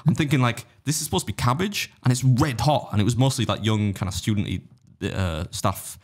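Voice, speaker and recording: A man's voice: mid-range voice, fairly quick. An English man hosting a podcast, chatting casually in a rambling, jokey way and often laughing at himself. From England. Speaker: podcast host. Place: podcast studio.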